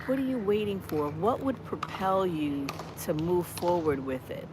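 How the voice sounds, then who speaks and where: Hoarse woman in her 50s encouraging outdoors. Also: fairly low pitch, very animated, unhurried. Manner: encouraging, chatty, preacher-like exhortation. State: hoarse from a cold. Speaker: motivational vlogger. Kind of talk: live-streamed walking vlog. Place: outdoors on a college campus. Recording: noisy outdoor street ambience.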